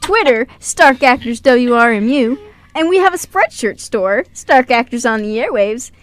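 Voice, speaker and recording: A young woman with a high voice hosts a radio show. She talks casually and playfully, with a giggly streak, in a studio. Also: expressive, a little slow, no room sound. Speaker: radio show host and actor. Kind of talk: casual group chat.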